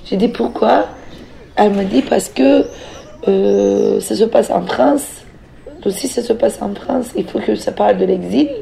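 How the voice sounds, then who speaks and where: A middle-aged woman from Turkey with a Turkish accent, telling her own story off the cuff as a novelist, reflective and halting as she searches for words and repeats phrases for emphasis. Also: mid-range voice, gravelly, warm tone, rough recording. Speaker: novelist speaking as a guest. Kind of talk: reflective personal account, spoken off the cuff.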